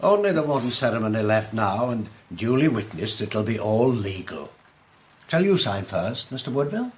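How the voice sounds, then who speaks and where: A man's voice: fairly low pitch, big pitch swings, moderate pace, slight background noise. A man playing a kindly, fussy older uncle in a period radio drama, avuncular and exclamatory. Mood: jovial, fussy.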